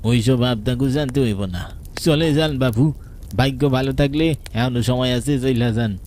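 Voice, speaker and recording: Mellow, grave ghostly tea seller played by a man, eastern Bengali accent. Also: medium pitch, moderate pace, slight background noise, natural voice. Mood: urgent warning. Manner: grave, warning. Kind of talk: horror cartoon voice acting.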